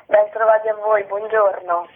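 A woman's voice: medium pitch, flat delivery, moderate pace. A woman explains her work in a radio interview, choosing her words reflectively. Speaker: theatre director being interviewed. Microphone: telephone. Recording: narrow tinny phone line.

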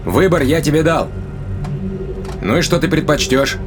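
An actor playing a ruthless, commanding spaceship owner in an audio drama, curt and menacing in manner. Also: male voice, fairly high pitch, moderate pace, loud music behind the voice, close to an everyday voice. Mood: cold, threatening.

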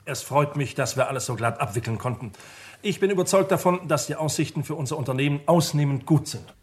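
An actor playing a polite, slightly slow-witted minor official in a 1960s radio crime play. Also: male voice, fairly high pitch, husky. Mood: polite, businesslike. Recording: noisy old radio archive recording.

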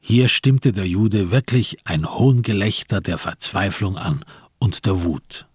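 A male narrator of a radio crime drama with a fairly low, husky voice. He reads scripted prose in a measured, literary way, recorded dry and close. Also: darkish tone, no echo.

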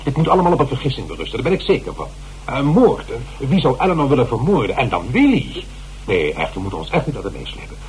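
An actor plays an earnest male lawyer. He protests in a formal, emphatic way, his pitch rising and dropping a lot. A faint hum sits under the radio play recording. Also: sing-song, fast talker, darkish tone, lightly acted, poor audio. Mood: indignant.

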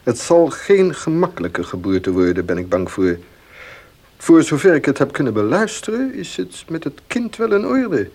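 An actor playing a frank, fatherly doctor in a radio drama, with a husky voice and reassuring manner. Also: a little high, sing-song, moderate pace, a little mellow. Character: avuncular, frank male village doctor.

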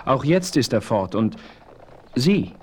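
An actor playing a noble Apache chief with ceremonial, dignified speech in a western audio drama. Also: male voice, mid-range voice, big pitch swings, slow, breathy edge, exaggerated voice. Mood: dignified welcome. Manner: ceremonial, stately, flowery formal speech. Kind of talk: 1970s German western audio drama acting.

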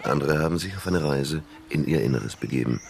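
A man's voice: rumbling low, fairly quick, gravelly, a little hiss or hum. An actor playing a grandiose, effusive male surrealist intellectual in a German radio crime drama, with a pompous, declamatory manner. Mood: grand, amused.

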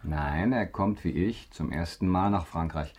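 A man acting a mysterious, wealthy count in a German radio drama, speaking formally and with measured courtesy. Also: low-pitched, darkish tone, slightly rough, heavily acted. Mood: composed, assured. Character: mysterious wealthy count, male, composed, courtly and self-assured.

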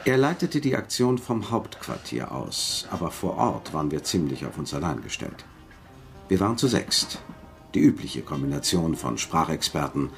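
A washed-up spy in a German radio drama, with a very low, gravelly voice. He is bitter and set on revenge, telling his story with weary gravity and a widely moving melody. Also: heavily acted.

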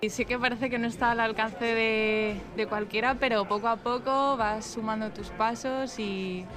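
A woman's voice: a little high, steady tone, normal speed. A woman from Madrid with a Madrid Spanish accent, answering interview questions hesitantly, with many fillers and restarts. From Spain. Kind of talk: casual interview. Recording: loud music behind.

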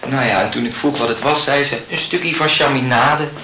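A man's voice: mid-range voice, normal speed, background noise, natural voice. A man playing a chatty, opinionated male student in a radio play, blunt and gossipy, swearing mildly. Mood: mocking. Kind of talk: conversational radio drama acting.

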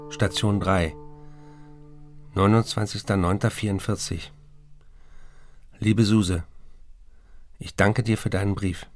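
A man's voice: lowish voice, a little mellow, dry recording. An actor playing an estranged writer husband reading his letter in a radio drama, formal, clipped and matter-of-fact. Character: alcoholic writer husband in an asylum, terse, conciliatory.

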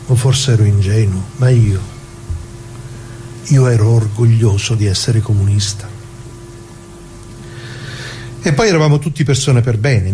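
An actor playing a nostalgic, proud older working-class communist in a radio theatre monologue, talking colloquially and haltingly, repeating his words with earnest feeling. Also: on the low side, mellow, slight background noise, lightly acted, rough recording. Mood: proud, wistful.

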